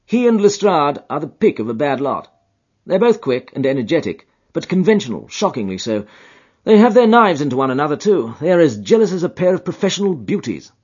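A man reading aloud voices a sharp, aloof male detective, quick and superior. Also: fairly high pitch, very animated, breathy edge, voice pushed up. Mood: lofty. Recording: dull lo-fi recording.

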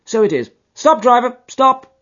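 A man reading aloud voices a sharp, aloof male detective, quick and superior. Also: extremely high pitch, sing-song, voice pushed up, full character voice. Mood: brisk. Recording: dull lo-fi recording.

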